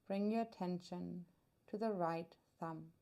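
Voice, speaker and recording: A woman guiding a meditation as a yoga nidra teacher, soothing and unhurried, leaving long pauses between her phrases. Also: lowish voice, mellow, highs cut off. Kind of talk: guided meditation narration.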